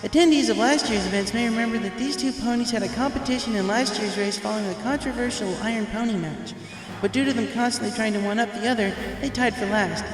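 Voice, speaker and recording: Man putting on a high, bright female pony announcer. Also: fast talker, very echoey, long reverb tail, over music, low-quality recording. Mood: eager. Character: bright bookish female pony race co-commentator.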